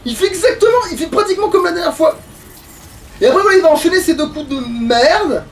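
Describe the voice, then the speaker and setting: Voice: very high-pitched, lively intonation, fast talker, recorded from a distance, slight background noise. A gamer giving casual, sweary gameplay commentary in an exasperated, grumbling manner. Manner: exasperated, foul-mouthed grumbling. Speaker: let's play gamer.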